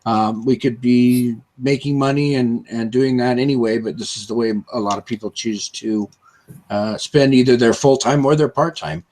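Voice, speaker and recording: A man's voice: mid-range voice, fairly even intonation, normal speed, a little mellow. A man with a chest cold co-hosting a live stream over a video call, chatty and upbeat with lots of fillers. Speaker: co-host. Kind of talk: casual live-stream chat.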